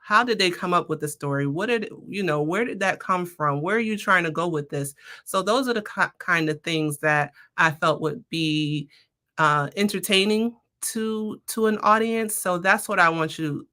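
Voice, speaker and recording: Low-pitched, bright-voiced woman chatting. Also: normal speed. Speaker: podcast guest, author. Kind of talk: casual conversational interview answers.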